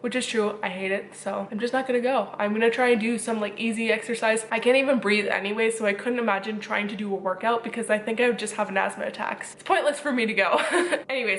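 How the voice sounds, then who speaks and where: A woman's voice: medium pitch, a bit bright. Young woman, blocked-up nose, breathless, chatty, faint hiss behind. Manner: chatty and rambling. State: stuffy, congested nose from a cold; short of breath. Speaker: vlogger. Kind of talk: casual vlog talk to camera. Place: home kitchen.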